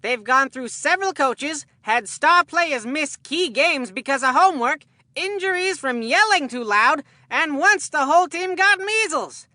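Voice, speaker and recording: A woman voicing an excitable, food-loving boy baseball commentator in a cartoon game, with a folksy, earnest showman delivery. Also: sits high, unhurried, no echo, studio quality, exaggerated voice.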